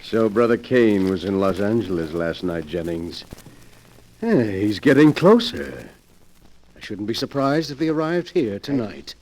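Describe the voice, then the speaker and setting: An actor playing a sly, gloating invalid master with menacing, mock-courteous amusement in a 1940s radio drama. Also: male voice, fairly low pitch, lively intonation, unhurried, darkish tone, a little hiss or hum. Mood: gleefully sinister. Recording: vintage 1940s radio recording.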